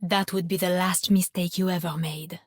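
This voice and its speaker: A woman plays a cold sniper from a video game. Her voice is low and husky, cool and full of menace. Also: fairly even intonation, normal speed, no echo, studio quality, close to an everyday voice. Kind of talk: video game character voice lines.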